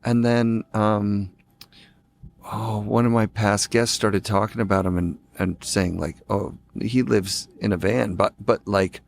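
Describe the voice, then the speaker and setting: A man's voice: lowish voice, fairly even intonation, vocal fry. A man hosting a podcast, chiming in eagerly and asking loose, friendly questions. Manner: eager, chimes in with quick agreement. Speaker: podcast host. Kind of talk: casual interview chat.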